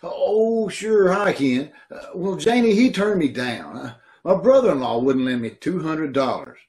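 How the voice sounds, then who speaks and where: High-voiced, needy radio-comedy brother played by a man. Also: big pitch swings, measured pace, darkish tone. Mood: pleading, exasperated. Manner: needy, exasperated. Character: pleading, broke brother begging for a loan. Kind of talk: old-time radio comedy acting. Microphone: video call.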